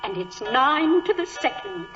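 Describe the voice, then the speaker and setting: An actress playing a homely, reassuring English housekeeper with a British accent, chatty and folksy, in 1940s radio style. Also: female voice, high-pitched, big pitch swings, measured pace, over music. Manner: fussy, comforting, folksy. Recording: dull old radio recording.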